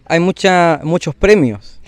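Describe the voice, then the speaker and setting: Smooth-voiced male interviewer, dry sound. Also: fairly high pitch, takes it slowly, dry recording. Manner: short prompting questions. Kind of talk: street interview.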